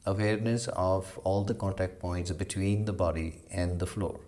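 A man leading a guided meditation as a yoga instructor, giving slow, measured instructions with long pauses. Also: deep voice, steady tone, darkish tone.

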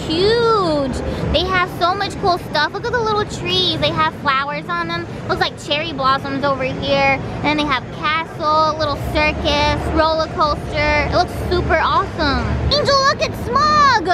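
A woman in her twenties giving casual, excited vlog commentary, giggly and easily amazed. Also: high voice, moderate pace, very noisy. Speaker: vlogger. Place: large indoor expo hall. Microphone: handheld camera microphone. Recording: loud busy convention hall, music behind.

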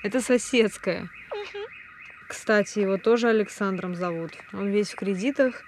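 An actress playing a blunt, sardonic, world-weary woman in an audio drama phone dialogue, with a dry deadpan delivery. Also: mid-range voice, moderate pace, slight background noise, natural voice.

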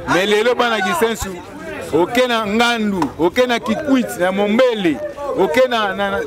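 A man's voice: high-pitched, normal speed. A man in his forties, interviewed on an outdoor market street, speaking emphatically and with enthusiasm into a handheld mic held close. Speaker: resident interviewee. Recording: crowd noise outdoors.

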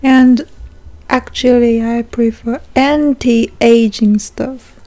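A woman's voice: fairly high pitch, steady tone, drawn-out words, warm tone. A woman co-hosting a podcast in casual, flirty ASMR chat, playful and teasing, close to the microphone. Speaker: podcast co-host.

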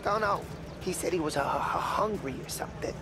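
A man acting a friendly, timid mechanic in a gangster game. The voice is fairly high and catches in a stutter. Busy outdoor ambience is clear behind it. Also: fairly quick, natural voice. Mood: shy, pleased. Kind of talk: cinematic crime game acting. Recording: noisy outdoor garage ambience.

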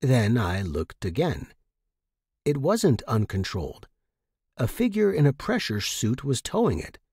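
A man reading first-person fiction as a narrator, in an expressive, dramatic manner. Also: mid-range voice, a little slow, slightly rough, no echo, clean studio sound.

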